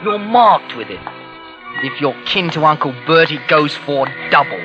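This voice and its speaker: A bitter young London jailbird in an old radio play, sardonic and defiant. His fairly high voice comes through a muffled recording with loud music behind. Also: lively intonation, a little slow. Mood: bitter brooding. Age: young adult. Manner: sullen, sardonic. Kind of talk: 1940s radio acting, dramatic narration.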